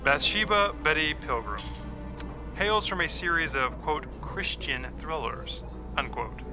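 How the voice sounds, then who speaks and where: A man's voice: a little high, expressive, unhurried. A man playing a stuffy, formal agent who briefs in dry, precise language in an audio drama, with music behind.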